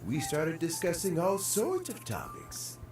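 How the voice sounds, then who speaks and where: An actor playing a kindly older man in a video game, who talks in a reflective, unhurried way about fishing and aging. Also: fairly high pitch, wildly swooping pitch. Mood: wistful. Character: gentle aging male official, fond of fishing. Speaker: game character. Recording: roomy distant game audio with loud music. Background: game music.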